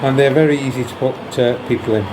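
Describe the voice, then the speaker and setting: A man's voice: medium pitch, fairly even intonation, quick pace, noisy background. A man giving a casual, unscripted explanation as a hobby vlogger, hesitating and repeating himself as he goes. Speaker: model railway vlogger.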